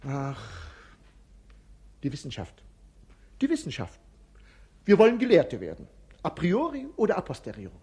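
A man's voice: a little high, wildly swooping pitch, moderate pace, pauses often, warm tone, slightly rough, exaggerated voice. An actor in a 1950s radio play voicing a witty, idle male servant and jester-like companion who talks in cheeky, mocking puns. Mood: playful.

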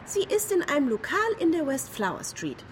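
A high, very animated voice, a haughty, erratic young woman in a radio drama. She is defensive and evasive on the phone, with street noise clearly behind her. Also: normal speed. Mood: defiant, insistent. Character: unstable, evasive, prickly young woman on the telephone. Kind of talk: German radio drama acting.

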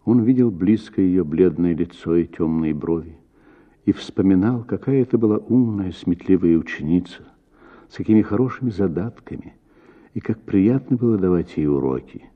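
A man reading a literary short story as an actor, with expressive, theatrical phrasing. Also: low-pitched, moderate pace, phone-quality sound. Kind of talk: expressive literary audiobook narration.

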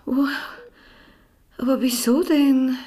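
Fragile middle-aged wife, radio play, high breathy voice, often whispering, slow and confused. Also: female voice, steady tone, warm tone. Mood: bewildered. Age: 50s. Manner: halting, repetitive, dazed questioning. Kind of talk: radio drama acting.

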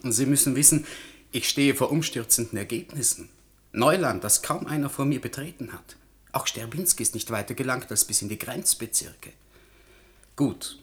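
A man's voice: medium pitch, moderate pace, breathy edge, natural voice. Intense young physicist, acted by a man. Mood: fervent, lecturing. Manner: intense, insistent, lecturing. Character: driven young male physicist, secretive and impatient. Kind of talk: German science fiction radio play acting.

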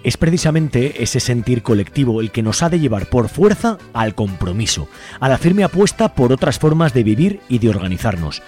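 A man's voice: medium pitch, big pitch swings, normal speed. Man from radio, Spanish accent, over music. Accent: Spain. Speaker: radio show host. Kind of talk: presenting a community radio show, partly scripted. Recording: music behind the voice.

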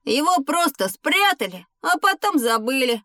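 A high voiced kid pupil, curious n polite, dry studio sound, audio drama. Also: female voice, big pitch swings, a little slow, bright, forceful tone, no room sound, heavily acted. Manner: inquisitive, polite. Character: curious, polite child pupil on a history journey. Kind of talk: educational audio drama acting.

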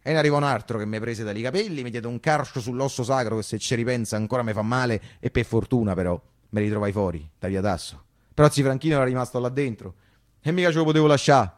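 A man with a Roman accent playing a naive, animated working-class character recalling his wartime boyhood in a colloquial, emphatic radio monologue. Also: mid-range voice, fast talker, natural voice. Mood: vivid recollection. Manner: emphatic, repetitive, conversational.

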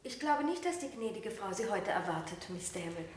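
An actress playing a polite household maid, formal and deferential, in a German radio drama. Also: female voice, medium pitch, sing-song, a little fast, reverberant, lightly acted. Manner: formal, servile.

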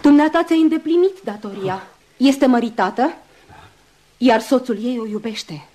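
A woman's voice: on the high side, warm tone, close to an everyday voice. An actress playing an anxious, devoted woman, earnest and pleading, in a Romanian radio play. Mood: anxious, emotional. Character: anxious, devoted, self-sacrificing woman helping the doctor. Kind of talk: Romanian radio theatre acting.

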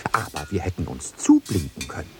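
Ein Schauspieler spielt im Hörspiel einen verliebten Seekapitän, der zärtlich, verträumt und stockend spricht. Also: male voice, deep voice, wildly swooping pitch, fairly quick, dark tone, a little hiss or hum. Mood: wistful. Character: love-struck sea captain, twenty years at sea. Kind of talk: radio drama acting.

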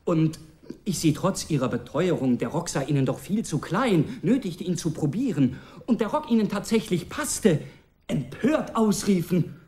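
An actor playing a reserved, brooding, weary young man in a German radio drama, speaking sparely and deliberately. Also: high-pitched, big pitch swings, a little mellow. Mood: dry recollection.

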